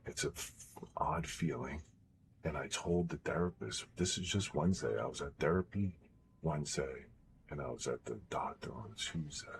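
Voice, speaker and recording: Very deep-voiced man with a sore throat. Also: noisy background. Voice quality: baritone. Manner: blunt and profane, drops to a whisper at times. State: sore throat after vocal cord surgery, voice strained. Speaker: streamer. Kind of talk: casual live-stream chat.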